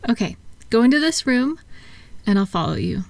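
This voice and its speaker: A woman playing a kind, unhurried attendant at a calming clinic, soft-spoken and reassuring in a relaxation roleplay. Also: on the high side, no echo. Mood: gently hospitable.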